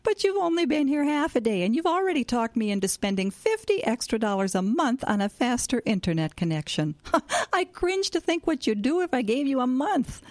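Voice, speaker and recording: An older woman playing a fond, wry and chatty small-town grandmother in an expressive radio drama style. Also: on the high side, no room sound.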